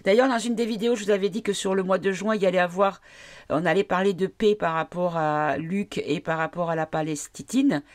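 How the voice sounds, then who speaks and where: A woman's voice: fairly low pitch, brisk. Woman with a cold reading cards. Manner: hesitant, many fillers, questions the cards aloud. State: has a cold. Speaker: tarot card reader. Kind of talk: casual card reading to viewers.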